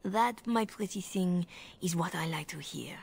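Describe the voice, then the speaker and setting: An actress playing a seductive, commanding spymaster in a video game, with a teasing, possessive, silky manner. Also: female voice, on the low side, unhurried, vocal fry, slight background noise. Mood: pleased.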